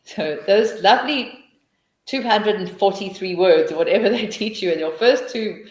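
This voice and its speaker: A woman's voice: medium pitch, moderate pace, reverberant, low-quality recording. A middle-aged woman with a South African accent, answering questions in a webinar and thinking aloud with many restarts. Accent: South African English. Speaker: teacher trainer.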